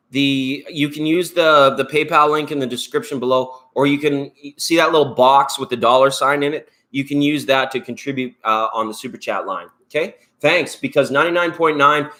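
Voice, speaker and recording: A man's voice: mid-range voice, sharp tone. A Canadian man hosting a live tarot stream, chatting in a rambling, upbeat way and thanking viewers by name. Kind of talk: casual live-stream chat.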